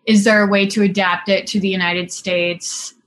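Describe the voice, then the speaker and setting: A woman in her thirties who grew up in Singapore talks through her filmmaking as an interview guest. Her voice sits at an ordinary middle pitch, and she strings thoughts together loosely with plenty of "like" and "you know." She laughs easily. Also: flat delivery, moderate pace. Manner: chatty, full of "like" and "you know" fillers, laughs often. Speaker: film director and cinematographer guest. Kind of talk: casual interview chat.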